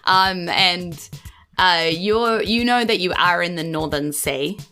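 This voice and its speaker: A woman's voice: on the low side, slow, pushed and bright, clear, resonant tone, soft background music. A woman with a New Zealand accent improvising narration as the game master of a tabletop game.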